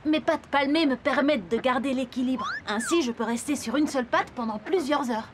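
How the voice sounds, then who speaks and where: A woman's voice: high voice, fairly quick, background noise, close to an everyday voice. A woman voicing a friendly, hospitable flamingo father in a children's cartoon, patiently explaining things in simple words. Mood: sleepy, friendly.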